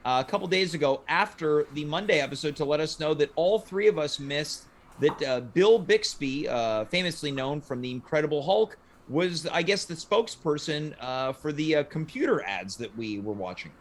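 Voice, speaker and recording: Guy hosting a loose comedy chat show, kinda high voice, playfully grand phrasing. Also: animated, moderate pace, slight background noise. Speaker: talk show host. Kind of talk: casual live comedy talk show banter.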